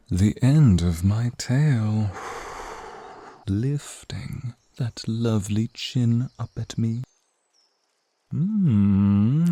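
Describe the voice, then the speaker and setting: A man voicing a flirtatious, teasing male naga in an ASMR roleplay, speaking in a seductive, breathy, drawn-out way to the listener. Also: on the low side, a little mellow, no room sound, exaggerated voice. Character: flirtatious, teasing male naga, crimson-eyed protective companion. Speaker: voice actor.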